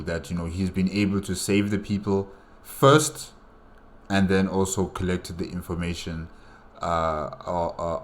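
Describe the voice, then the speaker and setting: Deep-voiced man musing in casual commentary. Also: fairly bright tone. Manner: musing, repeats phrases, often says you know. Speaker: reaction video host. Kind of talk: casual solo reaction commentary.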